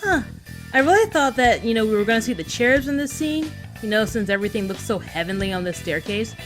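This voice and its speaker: A giggly woman on a fan recap video, chatting away like she's telling friends about an episode, loud music under her. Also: on the high side, animated, fast talker. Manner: casual and conversational, giggly.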